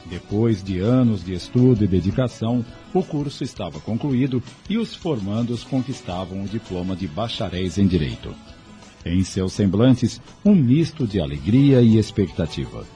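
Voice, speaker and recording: A man with a Brazilian accent narrating a scripted audio drama in a measured, literary storytelling manner. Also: lowish voice, big pitch swings, mellow, faint music behind.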